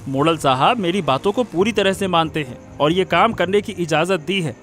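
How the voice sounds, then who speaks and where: Man playing a high-pitched smug village villain. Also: moderate pace, a bit bright, soft background music. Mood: gloating, smug. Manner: gloating, boastful. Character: smug, scheming village villain, boastful husband. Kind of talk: animated moral story dubbing.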